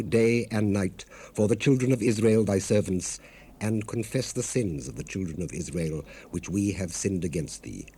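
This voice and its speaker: An actor playing a devout, humble Old Testament cupbearer in a dramatized scripture recording, speaking with solemn, measured, formal fervor. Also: male voice, lowish voice, mellow, husky. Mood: fervent pleading. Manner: solemn, fervent, measured, formal archaic delivery. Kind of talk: dramatized scripture reading, 1970s audio drama. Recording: noisy unprocessed cassette rip.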